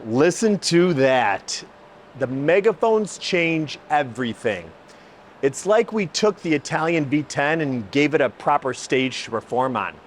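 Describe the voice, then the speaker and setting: A man's voice: a little high, animated, unhurried, slight background noise. A man hosting an automotive video, presenting and explaining in an emphatic, enthusiastic way. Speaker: host, exhaust shop builder. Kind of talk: presenting car exhaust comparison, part scripted, part explanatory.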